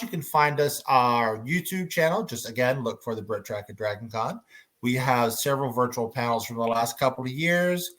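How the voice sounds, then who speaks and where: A man moderating an informal fan panel as its host, speaking in halting, hesitant phrases. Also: mid-range voice, fairly bright tone.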